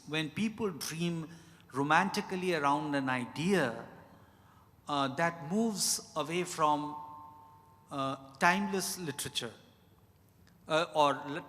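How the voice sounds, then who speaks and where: A middle-aged man with an Indian accent answering questions as an author, speaking reflectively and deliberately, often repeating phrases. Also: a little high, fairly bright tone. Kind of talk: reflective live Q&A at a literary event. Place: large indoor event hall at a hotel. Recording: reverberant hall, amplified live event.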